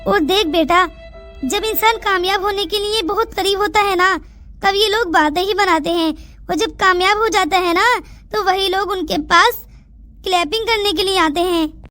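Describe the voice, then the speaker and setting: Woman acting an anxious, high-voiced village mother. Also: moderate pace, sharp tone, no echo. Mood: reassuring, coaxing. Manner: fretful, coaxing, motherly. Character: anxious, caring village mother comforting her grown daughter. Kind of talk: Hindi cartoon moral story dialogue. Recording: music behind the voice.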